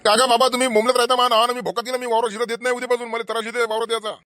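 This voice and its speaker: A man acts out an excitable middle-aged farmer uncle in a rural comedy cartoon. He talks fast in a high, dry-recorded voice. His manner is blunt, earthy and emphatic, with a Vidarbha Marathi accent. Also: no room sound. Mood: indignant scolding.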